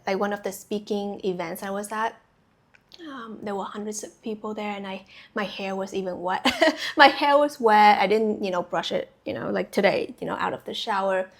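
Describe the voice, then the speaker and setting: A young adult woman from Vietnam, a spiritual coach giving a chatty intuitive reading straight to her viewers, full of fillers and restarts. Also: medium pitch. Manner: chatty, many fillers and restarts, laughs easily. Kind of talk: casual intuitive reading to camera.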